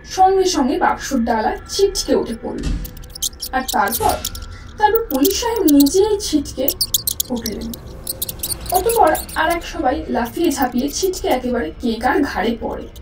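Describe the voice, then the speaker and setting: A woman narrator tells a suspense tale theatrically in a high voice. The sound is echoing and set back from the mic. Faint music runs behind her. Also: expressive, measured pace, distant mic. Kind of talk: dramatised story narration.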